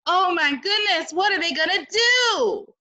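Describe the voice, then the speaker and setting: A woman reads a storybook aloud with big, dramatic expression. Her voice is high and bright, and she takes her time, breaking off for exclaiming asides to young listeners. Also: expressive, a little slow. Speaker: teacher reading a picture book aloud. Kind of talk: animated read-aloud with asides to children.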